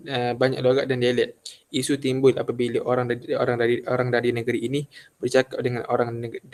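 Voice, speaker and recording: A young man from Kelantan giving a halting class presentation, full of fillers and restarts. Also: mid-range voice, a little mellow. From Malaysia, Kelantan. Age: young adult. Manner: hesitant, many fillers and restarts. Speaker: student presenter.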